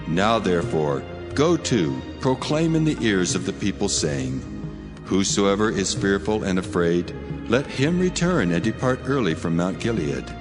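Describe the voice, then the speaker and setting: A man voicing a solemn, commanding God in a dramatized scripture reading, with measured, authoritative delivery. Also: low-pitched, big pitch swings. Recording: long cavernous reverb, music behind. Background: music.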